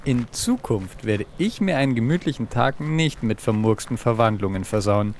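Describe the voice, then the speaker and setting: A man's voice: medium pitch, animated, normal speed, a little mellow, a little hiss or hum. A man co-hosting a children's science podcast, performing as himself in a scripted audio play. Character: himself turned into a reluctant pink pig. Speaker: podcast co-host.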